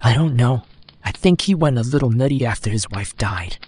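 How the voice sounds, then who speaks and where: A man's voice: medium pitch, expressive, moderate pace, a little mellow, slightly rough, dry recording. A man voicing a slender, cautious young innkeeper in an audiobook, with a folksy, fretful manner. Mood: conversational.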